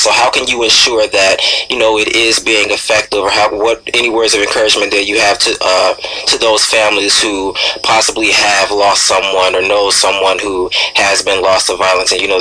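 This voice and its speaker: A man with a bright, forward voice pitched a little low hosts a radio interview. He talks at length, halting and searching for his words. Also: slight background noise, rough recording. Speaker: radio show host, interviewer. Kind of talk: conversational radio interview questioning.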